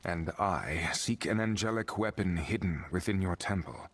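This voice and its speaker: Deep, husky adventurer hero. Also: male voice, moderate pace, fairly bright tone. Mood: earnest, resolute. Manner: serious, formal. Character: earnest, resolute male adventurer hero. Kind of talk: fantasy game voice acting.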